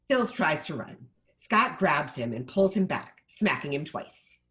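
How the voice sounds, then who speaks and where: A man's voice: fairly high pitch, very animated, unhurried, sharp tone. A man reading stage directions as scripted narration for a table read.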